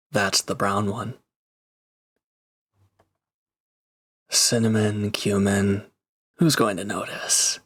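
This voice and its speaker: A man's voice: lowish voice, fairly bright tone, slightly rough, exaggerated voice. A man playing a reformed villain turned mercenary in an intimate roleplay audio drama, speaking casually and hesitantly with awkward, earnest gratitude. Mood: casual, teasing.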